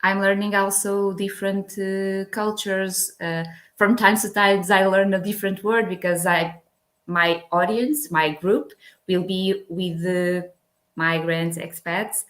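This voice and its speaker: A woman's voice: medium pitch, fairly even intonation, takes it slowly. A Portuguese woman speaking English as a guest in a casual interview, hesitant, with many fillers. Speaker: guest from a language exchange project.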